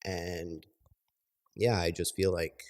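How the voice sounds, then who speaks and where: A young man co-hosting a podcast in casual chat, calmly explaining his view in a measured way. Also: sits low. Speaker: podcast co-host.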